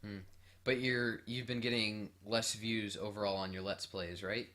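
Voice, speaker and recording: A man co-hosting a casual podcast, talking in an energetic, jokey and self-deprecating way. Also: fairly low pitch, normal speed. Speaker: podcast co-host. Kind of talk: casual chat.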